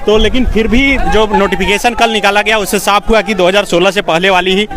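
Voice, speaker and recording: A male reporter with a high-pitched voice conducts a field interview. He puts questions and lays out background for them. The busy sound of a crowd outdoors surrounds him. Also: fairly even intonation, a little fast. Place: outdoors in a busy public place.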